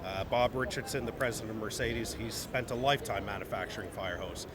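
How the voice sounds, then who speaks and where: A man's voice: lowish voice, a little fast, crowd noise. A man speaking as a company representative in an informal trade show interview, emphatic and repeating phrases for stress. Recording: noisy busy venue background.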